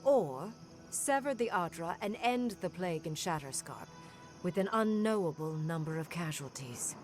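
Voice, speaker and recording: Woman as a resolute female zealot, lowish voice, expressive pitch, measured, authoritative, fantasy game, faint music behind. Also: close to an everyday voice. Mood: stern, urging. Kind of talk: fantasy game cutscene acting.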